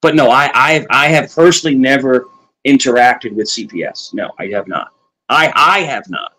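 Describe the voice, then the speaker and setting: A man's voice: medium pitch, moderate pace, sharp tone, poor audio. A man from New York co-hosting a casual talk show, earnest and opinionated, often repeating himself. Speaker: co-host.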